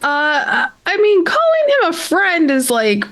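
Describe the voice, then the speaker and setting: A woman's voice: very high-pitched, huge pitch swings, unhurried, fairly bright tone, heavily acted. A woman playing a blunt, callous young survivor with a flippant, slangy way of talking in an improvised game. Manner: flippant, casual slangy delivery. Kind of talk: improvised in-character roleplay.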